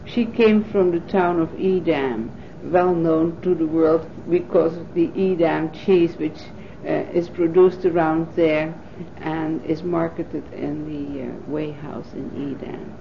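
Dutch-born woman in her fifties, low gravelly voice, pausing often, dull lo-fi 1980s tape. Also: steady tone, a little hiss or hum. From Netherlands. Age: 50s. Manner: thoughtful, pausing with frequent fillers. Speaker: oral history interviewee. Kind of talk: oral history recollection.

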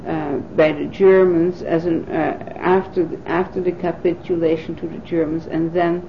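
Dutch-born woman in her fifties, low gravelly voice, pausing often, dull lo-fi 1980s tape. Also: flat delivery, a little hiss or hum. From Netherlands. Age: 50s. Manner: thoughtful, pausing with frequent fillers. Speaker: oral history interviewee. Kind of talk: oral history recollection.

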